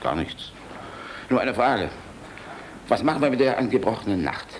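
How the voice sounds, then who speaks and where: Man acting a hearty general, hugely lively voice. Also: medium pitch, normal speed, a little hiss or hum, close to an everyday voice. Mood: quiet probing. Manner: hearty, commanding, teasing, swinging between bellowing and confiding. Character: boisterous, jovial, cynical Luftwaffe general, fatherly toward young officers. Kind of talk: German radio play acting.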